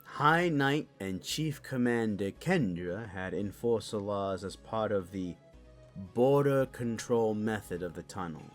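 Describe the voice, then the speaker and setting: Male actor as composed fantasy council elder and teacher, somewhat low voice, formal delivery, faint music behind. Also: animated, a little slow. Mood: composed, explanatory. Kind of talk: fantasy audio drama acting.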